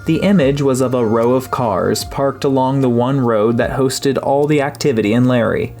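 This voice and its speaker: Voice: mid-range voice, normal speed, darkish tone. An audiobook narrator reading a scripted story in prose. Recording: music behind the voice.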